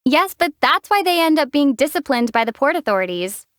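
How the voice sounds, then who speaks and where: A young wandering heroine for a video game. Her voice sits high and calm, and she explains things with dry, teasing wit. It is recorded close and dead dry in a studio. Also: a little fast, no echo. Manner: dry teasing explanations and sharp battle shouts. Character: young female traveler hero, calm and wry.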